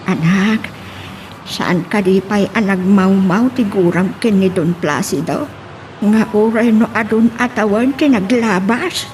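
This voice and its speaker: Woman acting a sorrowful, gentle older mother. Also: mid-range voice, a little slow, a little hiss or hum, lightly acted. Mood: sorrowful pleading. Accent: Ilocano. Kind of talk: Ilocano radio drama acting.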